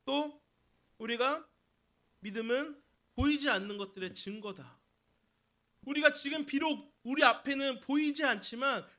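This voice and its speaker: A man's voice: high voice, pushed and bright. A man preaching a Sunday sermon as pastor, emphatic, repeating key phrases and posing rhetorical questions to his congregation.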